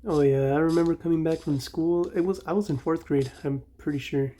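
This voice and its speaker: Young man with a Mexican accent, mellow. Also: fairly high pitch, fairly even intonation, brisk. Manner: rambling, nostalgic, self-correcting. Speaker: gaming channel host. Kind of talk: casual commentary while playing.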